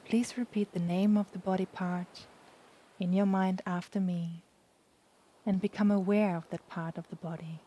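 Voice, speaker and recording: A woman guiding a yoga nidra relaxation in a soft, soothing, unhurried voice with long pauses. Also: lowish voice. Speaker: yoga nidra meditation guide. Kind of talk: guided relaxation meditation. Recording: steady background noise, ocean waves. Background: sound of the ocean.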